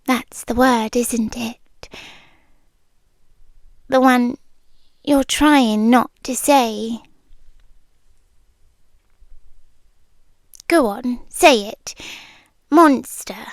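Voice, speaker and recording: Actress as ancient female monster, British, possessive and lovelorn. High, very slow, intimate ASMR delivery, often whispered, close on mic. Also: dry recording. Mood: brooding, menacing. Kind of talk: yandere roleplay ASMR. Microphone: close microphone for headphones.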